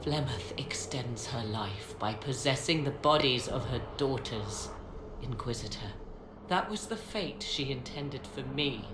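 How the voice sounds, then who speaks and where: A woman's voice: a bass voice, lively intonation, measured pace, background noise. A woman playing a defiant, protective witch mother in a video game, with stern and formal delivery. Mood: grave, bitter. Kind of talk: dramatic video game acting. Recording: roomy reverb with music behind.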